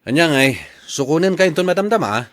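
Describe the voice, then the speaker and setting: A man's voice: on the high side, expressive, fairly quick, fairly bright tone. A man with an Ilocano accent playing a patient, agreeable husband and jeepney driver in a radio drama.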